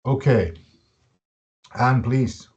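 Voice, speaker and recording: A man with a Finnish accent hosting a live webinar, speaking in short, halting, deliberate phrases. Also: mid-range voice, darkish tone, lo-fi sound. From Finland. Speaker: webinar host and fitness academy director.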